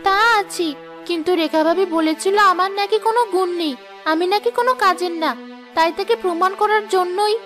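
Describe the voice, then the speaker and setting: A woman's voice: very high-pitched, fairly even intonation, fairly quick, a bit bright. A woman playing a proud, naive and discontented young wife in a cartoon fairy tale, plaintive and petulant. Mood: tearful, defensive. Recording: music behind the voice.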